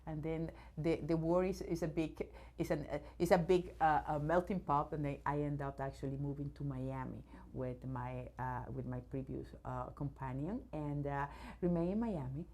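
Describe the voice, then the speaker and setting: Woman, from Venezuela, English speaker, low voice, hesitant restarts, enthusiastic, CEO interviewee. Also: steady tone, normal speed, mellow. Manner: many fillers and restarts, searching for words; enthusiastic about her subject. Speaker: robotics company CEO, guest. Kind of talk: news interview.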